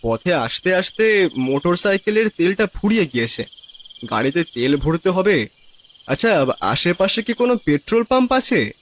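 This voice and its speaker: A man's voice: high-pitched, very animated, normal speed, a bit bright. A man voicing a casual, practical city traveller stranded at night in a cartoon ghost story. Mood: hopeful, asking. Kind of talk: cartoon horror story voice acting.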